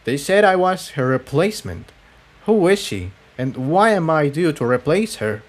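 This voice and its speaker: Man voicing tense, urgent young pony, raised pitch. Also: very animated, normal speed.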